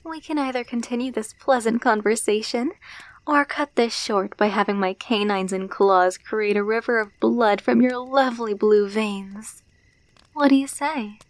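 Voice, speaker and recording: A woman's voice: fairly high pitch, measured pace, no echo, lightly acted. A woman playing a menacing, possessive female alpha werewolf, teasing and domineering in an ASMR roleplay, dropping into whispers. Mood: mocking sweetness.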